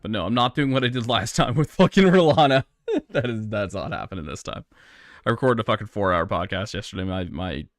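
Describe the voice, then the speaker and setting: A hoarse young man commenting as he plays a hard game live, thinking aloud, swearing and grumbling at his mistakes. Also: fairly low pitch, animated, fairly quick, no echo. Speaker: gaming streamer.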